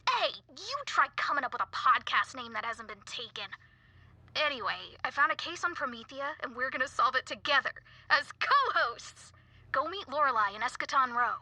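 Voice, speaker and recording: Bright, husky, self-important teen podcaster. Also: female voice, high-pitched, lively intonation, normal speed, heavily acted. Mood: eager. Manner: dramatic, earnest, pompous. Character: eager, self-important teenage girl ghost-mystery podcaster. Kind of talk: video game dialogue, mock podcast narration.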